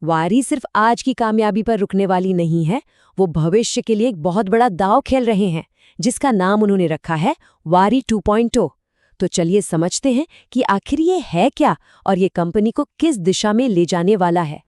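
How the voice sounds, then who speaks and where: A woman's voice: medium pitch, expressive, moderate pace, darkish tone, no echo, clean studio sound. A woman narrating a scripted explainer, using teaser lines to lead into the next section.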